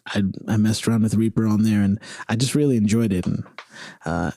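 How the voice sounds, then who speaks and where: A man raised in southern Africa and Virginia talks casually as an interview guest, halting and correcting himself as he thinks aloud. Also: lowish voice, creaky, warm tone, no echo, clean studio sound. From southern Africa (South Africa, Zambia) and Virginia, US. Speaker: interview guest, singer-songwriter.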